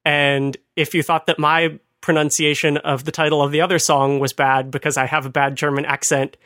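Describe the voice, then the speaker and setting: A man's voice: fairly high pitch, little pitch movement, moderate pace, pushed and bright, no room sound, clean studio sound. A man hosting a podcast, explaining things in a casual, chatty way and laughing at his own asides. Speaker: podcast host.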